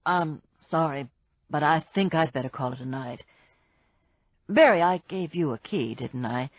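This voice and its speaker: Lady doing a sad tired polite man for an audiobook, husky, dry slightly muffled audio. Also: low voice, expressive, a little slow, no echo, voice pushed down. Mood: weary, polite. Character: saddened, courteous, weary man excusing himself.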